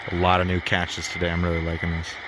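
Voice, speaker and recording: Low, even-voiced man over loud static. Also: quick pace. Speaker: shortwave radio hobbyist commentating. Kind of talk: casual off-the-cuff commentary. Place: outdoors in chilly air. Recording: loud radio static and noise. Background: shortwave radio signals and static.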